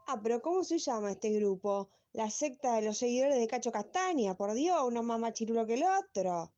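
A woman's voice: on the high side, animated, normal speed, mellow, dull audio, close to an everyday voice. A woman playing an exasperated, mocking middle-aged Argentine former classmate in a comic radio play. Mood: appalled.

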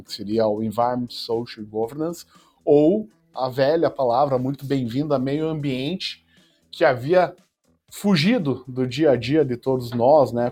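A man in his forties, a startup founder and podcast guest, telling his life story in a relaxed way with self-deprecating jokes. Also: mid-range voice, breathy edge. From Brazil. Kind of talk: podcast interview.

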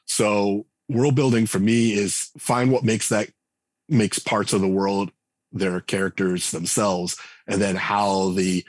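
A man's voice: lowish voice, level tone, slow pace, no room sound. A man speaking as a podcast guest, talking in a thoughtful, rambling way with lots of fillers and restarts. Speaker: game writer and designer guest. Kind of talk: conversational podcast interview.

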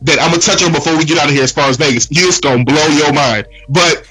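A man's voice: a little high, fast, sharp tone, husky. A man guesting on a casual podcast, a laid-back storyteller who keeps saying "real talk" and "man". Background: background music track.

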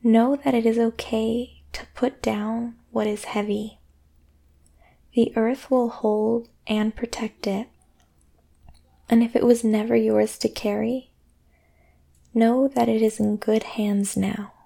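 A woman guiding a sleep meditation in a soothing, gentle way, speaking deliberately with long pauses. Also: on the high side, flat delivery, gravelly. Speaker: meditation guide and podcast host. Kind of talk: guided sleep meditation, yoga nidra.

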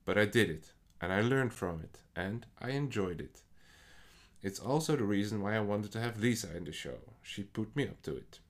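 A man with a Dutch accent, hosting a poetry podcast in a measured, scripted way. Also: on the low side, lively intonation. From Netherlands. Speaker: podcast host. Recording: faint music behind the voice.

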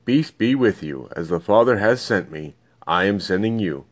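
A man voicing a calm, gentle, authoritative male teacher in a dramatised Bible reading. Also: lowish voice, normal speed.

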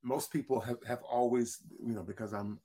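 A man with a deep voice, a singer-songwriter, telling stories in a relaxed interview and often restarting his words. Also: normal speed. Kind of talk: casual interview storytelling.

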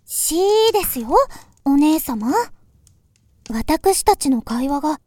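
A woman playing a polite, earnest younger sister in a voice drama, speaking formally and gravely. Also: high voice, expressive, dark tone, dry recording. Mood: gently chiding. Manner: formal, deferential, measured. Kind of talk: Japanese voice drama acting.

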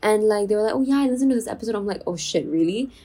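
A young woman from Singapore hosting her own podcast in a chatty, confiding way, enunciating clearly with a soothing voice. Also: mid-range voice, expressive, racing, warm tone. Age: 20s. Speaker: podcast host. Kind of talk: casual solo podcast chat.

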